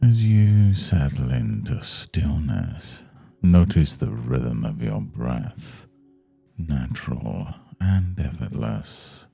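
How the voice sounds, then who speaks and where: A man leading a guided meditation in a soothing, measured, hushed manner. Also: very deep voice, big pitch swings, vocal fry, breathy edge, faint music behind. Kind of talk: guided Yoga Nidra meditation.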